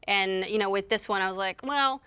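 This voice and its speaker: A woman speaking as a panelist in a casual discussion, giving opinions in a measured way. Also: mid-range voice, bright, forceful tone, smooth.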